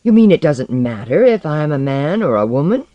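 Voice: medium pitch, sing-song, normal speed, dry recording, highs cut off, lightly acted. A reader voicing an anxious but proud male character who questions everything earnestly. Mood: insistent, puzzled. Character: anxious, proud, searching male blank character seeking identity.

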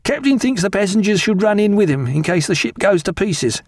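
A man's voice: high-pitched, sing-song, brisk, no echo, studio quality, voice pushed up. A man voicing a jovial, deferential ship's steward who talks in a colloquial, cheerfully blunt way in an audiobook sea story. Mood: jovial, rousing.

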